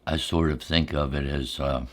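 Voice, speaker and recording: Middle-aged male, extremely low voice, halting and reflective, casual ASMR storytelling over a meal. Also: fairly even intonation. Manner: unhurried, halting, reflective recollection.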